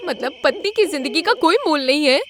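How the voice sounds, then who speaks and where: A woman voicing indignant, wronged village wives who protest in an animated story. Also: high voice, fairly quick, slight background noise, voice pushed up. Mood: aggrieved, protesting.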